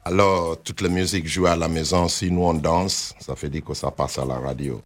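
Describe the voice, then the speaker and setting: A man with a low voice speaks as a reggae musician guest in a radio interview. His delivery is easygoing and relaxed, with English phrases mixed in. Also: normal speed.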